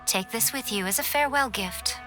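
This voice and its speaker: Sly fox priestess lady, woman voicing her, silky and teasing, kinda high voice, game music behind. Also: a little fast, lightly acted. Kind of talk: video game voice acting.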